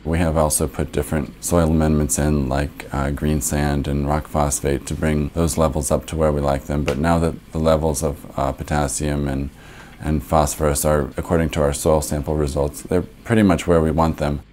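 A man's voice: extremely low pitch, little pitch movement, normal speed, a little mellow, slight background noise. A young American man, a farmer, explaining soil preparation in a matter-of-fact, methodical way in a documentary interview recorded indoors on a lapel mic. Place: living room, indoors.

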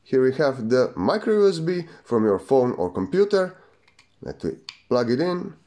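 Lively man demonstrating a gadget. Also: medium pitch, normal speed. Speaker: gadget reviewer. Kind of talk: casual product demonstration.

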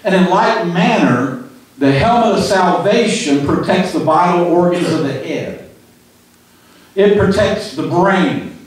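A man in his sixties preaching a sermon in a small church hall into a handheld mic. He talks in a folksy, halting way, repeating words and asking "Amen?" often. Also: high-pitched. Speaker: pastor. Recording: echoey room, mic picked up from a distance.

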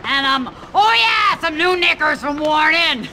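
A woman playing a gruff, slovenly middle-aged school cook in a video game, coarse and cackling. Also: high-pitched, expressive, unhurried, sharp tone, slight background noise, heavily acted. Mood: brash, amused.

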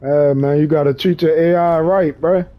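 Slangy cursing guy chatting, kinda high voice, muffled lo-fi sound. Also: fairly even intonation, moderate pace. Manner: slangy, profane, laughs often. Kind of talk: casual chat.

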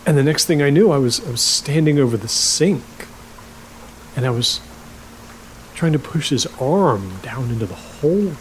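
An actor playing a lonely, awkward middle-aged rental host who rambles haltingly and repeats himself in a naturalistic audio drama. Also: male voice, mid-range voice, expressive, a little hiss or hum, close to an everyday voice, poor audio. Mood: eerily chatty.

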